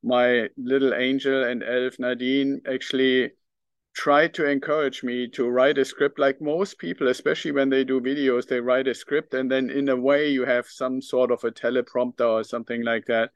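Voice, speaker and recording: A man's voice: mid-range voice, level tone, normal speed, clear, resonant tone, dry recording. German-accented man hosting a podcast, dry sound. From northern Germany. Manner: earnest, reflective, thinks aloud with fillers. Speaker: podcast host. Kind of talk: solo podcast monologue.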